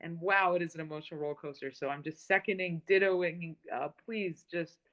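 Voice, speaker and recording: Enthusiastic woman with a lowish voice. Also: steady tone, a little fast. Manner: warm, enthusiastic, laughs often. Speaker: interviewer. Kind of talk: casual live-stream chat.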